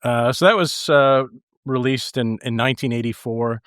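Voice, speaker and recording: A man's voice: mid-range voice, normal speed, fairly bright tone, smooth, no room sound. Hesitant man on a podcast, dry close sound. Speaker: podcast panelist. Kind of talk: casual chat about pop music.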